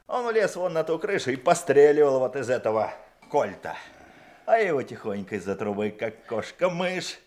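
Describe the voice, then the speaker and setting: An actor playing a cocky, eager young police trainee in a Soviet radio drama, boastful and keen. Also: male voice, medium pitch, sing-song, moderate pace, a little mellow, natural voice. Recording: noisy old radio play recording.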